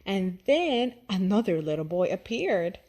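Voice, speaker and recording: A woman reading a children's story aloud in an expressive, deliberate storytelling manner. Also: medium pitch. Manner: deliberate, animated storytelling with character voices. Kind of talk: expressive read-aloud narration for young children.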